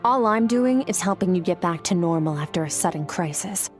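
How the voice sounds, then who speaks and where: Woman voicing an icy game mentor, lowish voice, curt and all business. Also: animated, brisk, soft background music, lightly acted. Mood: cool. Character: aloof, cool-headed woman mentor from the Abyss. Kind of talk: video game voice acting.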